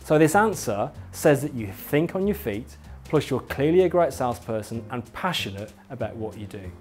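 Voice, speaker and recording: A man's voice: medium pitch, big pitch swings, moderate pace, husky, faint music behind. A man presenting a scripted lesson as an instructor, talking directly to the listener in an emphatic, encouraging, explanatory way. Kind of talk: scripted instructional narration addressed to viewer.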